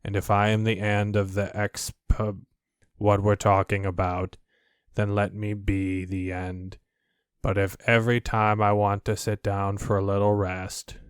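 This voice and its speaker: A man's voice: on the low side, clean tone, no room sound, clean studio sound, close to an everyday voice. A man voicing a gloomy, put-upon donkey in a storybook, grumbling in a morose, resigned manner. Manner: slow, morose, resigned delivery. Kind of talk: storybook character voice.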